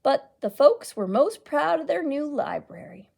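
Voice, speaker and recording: High-voiced woman reading a story expressively. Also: sing-song, measured pace, creaky, a little mellow. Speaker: children's librarian storyteller. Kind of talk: dramatic picture-book read-aloud.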